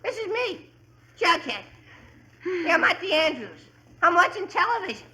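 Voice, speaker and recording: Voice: very high-pitched, big pitch swings, normal speed, sharp tone, exaggerated voice. A performer playing a brash, oblivious, chatty American teenage boy in a 1940s radio sitcom. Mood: loud, oblivious. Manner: goofy, exclamatory.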